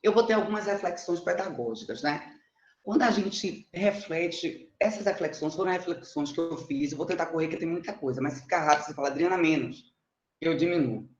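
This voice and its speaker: A woman's voice: lowish voice, fairly quick. Roomy, muffled Bahian woman presenting informally. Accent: Bahian (Salvador) Brazilian Portuguese. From Salvador, Bahia, Brazil. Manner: chatty, self-deprecating, often seeking confirmation. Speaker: mathematics teacher presenting research. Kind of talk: informal online oral presentation. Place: indoors, on an online conferencing platform. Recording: muffled, roomy online call audio.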